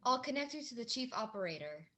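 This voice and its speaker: A woman's voice: on the high side, big pitch swings, fast talker, a crowd in the background. A woman acting a polite telephone switchboard operator in a radio drama, formal and formulaic. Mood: courteous, routine. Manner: formal, routine, courteous. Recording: clearly noisy background with clicks.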